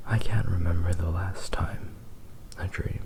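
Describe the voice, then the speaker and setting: A man playing a possessive, doting fox-boy husband in an ASMR roleplay, murmuring intimately and haltingly. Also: low-pitched, fairly even intonation. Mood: adoring, soft.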